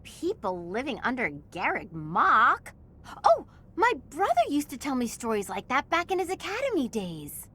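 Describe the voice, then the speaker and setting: A woman's voice: high-pitched, huge pitch swings, normal speed, a bit bright, husky, exaggerated voice. An actress voicing a perky, chatty young female student in a video game, breezy and informal. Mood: curious, chatty.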